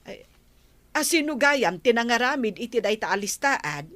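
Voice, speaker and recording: A woman's voice: fairly high pitch, very animated, normal speed, a bit bright, no room sound. A woman playing a self-satisfied village official in a comedy radio drama, with a drawling manner. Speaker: actor in an Ilocano comedy drama.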